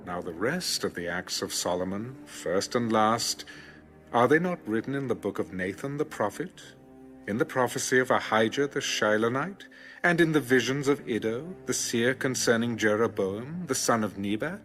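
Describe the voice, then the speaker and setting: A man narrating scripture as a scripted drama, in solemn, measured storytelling. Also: mid-range voice, lively intonation, a bit bright. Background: background music.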